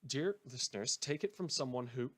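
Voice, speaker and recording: A man playing a troubled, defiant small-town radio host, delivering an earnest, halting monologue in an audio drama. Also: medium pitch, lively intonation, a bit bright, breathy edge. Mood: bitter, halting.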